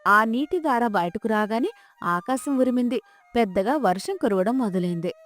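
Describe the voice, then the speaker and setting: Woman, slightly high voice, scripted folk-tale narration, storyteller, faint background music. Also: animated, moderate pace, no room sound.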